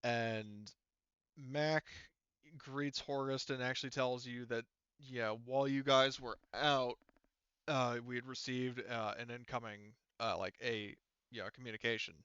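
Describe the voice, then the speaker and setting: Casting: male game master, mid-pitched and clear, rambling casual explanations, close dry room. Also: steady tone, fairly bright tone, no room sound, lo-fi sound. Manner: halting, rambling, filler-heavy explanations. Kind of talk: casual tabletop game narration.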